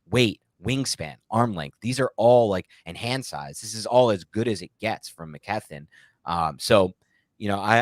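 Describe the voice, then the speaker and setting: Male American sports co-host. Low register, rapid delivery, emphatic and opinionated, loose casual chat. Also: no echo. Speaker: sports talk show co-host. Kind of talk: casual live Q&A chat about American football.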